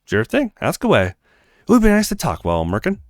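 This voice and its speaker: A man's voice: fairly high pitch, huge pitch swings, fast talker, dry recording, voice pushed up. A man voicing a courteous, easygoing handywoman in her twenties for a visual novel game. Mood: friendly. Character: friendly, polite young handywoman of twenty-four.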